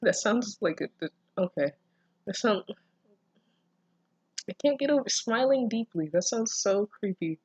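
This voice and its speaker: A woman's voice: mid-range voice, lively intonation, unhurried, long pauses, darkish tone, slightly rough, no echo, lo-fi sound. A woman reading and commenting on fan fiction in a casual, comedic way, sweary, sardonic and exasperated, stumbling over her words. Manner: breaks into laughter and stammers.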